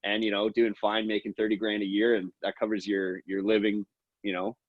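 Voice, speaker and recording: A male podcast host with a low, husky voice asks questions in a loose, laid-back way. He keeps calling his guest "man" and "dude". The sound is dry and muffled. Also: little pitch movement, a little fast, dry recording. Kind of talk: casual interview questions.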